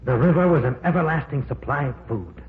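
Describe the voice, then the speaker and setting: A man playing a calm, theorizing professor in an old radio drama, speaking in a measured, explanatory way. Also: a little high, close to an everyday voice. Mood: explaining. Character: older male professor, calm, theorizing, steady. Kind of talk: 1950s radio drama acting. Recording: tinny lo-fi old radio broadcast.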